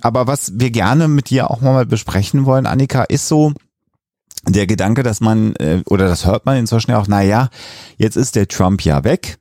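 A man's voice: on the low side, animated, normal speed, darkish tone, no room sound, clean studio sound. A man co-hosting a podcast interview in a conversational way. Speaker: podcast co-host.

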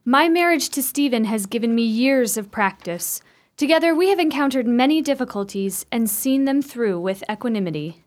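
Actress for gothic radio play: composed married sister, prim formal phrasing, high and very melodic. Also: female voice, animated, normal speed, close to an everyday voice. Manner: formal, genteel diction. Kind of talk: old-fashioned radio play acting.

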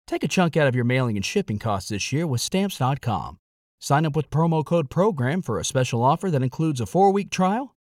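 A man's voice: fairly high pitch, a little mellow, dry recording, studio quality. A man reading a scripted radio ad in an upbeat, punchy sales delivery. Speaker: ad announcer.

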